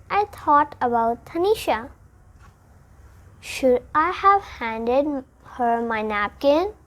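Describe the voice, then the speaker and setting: A child reads a children's picture book aloud, deliberately, phrase by phrase. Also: mid-range voice. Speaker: storybook reader.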